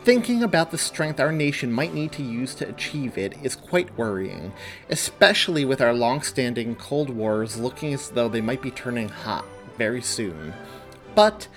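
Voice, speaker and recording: A man voicing an earnest, stubborn young woman video journalist in an audiobook, with emphatic, deliberate delivery. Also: mid-range voice, big pitch swings, a bit bright, soft background music. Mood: earnest, presenting. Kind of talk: audiobook character dialogue.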